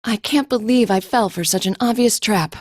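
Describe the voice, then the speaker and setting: A woman playing a composed, serious teenage swordswoman in a video game, speaking in a measured, formal way. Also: medium pitch, lightly acted. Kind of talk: English video game voice acting.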